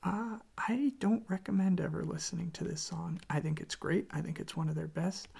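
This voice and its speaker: An ASMR creator speaking very softly and casually into a close microphone, with a breathy, creaky voice and a meandering, quietly enthusiastic way of talking. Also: medium pitch, fairly even intonation, normal speed. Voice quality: whispery, breathy with creaky fry. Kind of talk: soft-spoken ASMR, rambling music talk.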